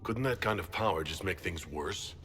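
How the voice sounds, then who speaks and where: Mage hero, fantasy game, guarded, direct, lively intonation, long reverb, faint music. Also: male voice, low-pitched, moderate pace. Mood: cool, questioning. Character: guarded, cool male mage hero, self-possessed. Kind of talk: dramatic fantasy game dialogue.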